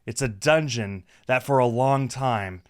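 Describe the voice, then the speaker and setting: A man's voice: mid-range voice, measured pace, lightly acted. A man voicing a boastful, eager young male cat adventurer from a visual novel, in a theatrical manner. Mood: excited. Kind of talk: visual novel character read aloud. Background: music.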